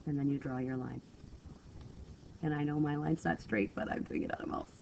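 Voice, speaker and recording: Stuffy-voiced woman teaching math, gravelly. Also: very deep voice, a little fast, a little mellow. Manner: patient, step-by-step, apologetic. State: stuffy from a cold. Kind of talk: screencast lesson walkthrough. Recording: dull lo-fi screen recording with faint hiss.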